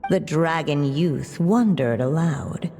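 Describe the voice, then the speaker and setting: Casting: unseen game storyteller, dark soft tone in echoing hall, very unhurried, theatrical, knowing. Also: female voice, deep voice. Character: unseen female storybook narrator, measured, wistful, gently knowing. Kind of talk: scripted fairy-tale narration in a video game. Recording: long hall-like reverb. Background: music.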